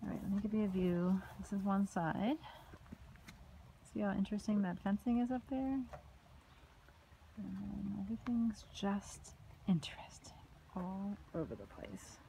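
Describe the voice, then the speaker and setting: A woman giving a casual walking tour of a house and its backyard, filmed on a handheld phone, with chatty remarks that trail off into soft asides. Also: mid-range voice, unhurried, gravelly, pauses often, a little hiss or hum, rough recording. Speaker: home tour vlogger. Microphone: phone camera held while walking.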